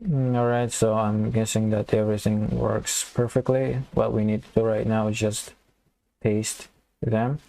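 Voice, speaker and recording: A man giving a casual coding tutorial, thinking aloud and explaining step by step in an informal, halting way. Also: on the low side, level tone, creaky, mellow, low-quality recording. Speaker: programming tutorial instructor.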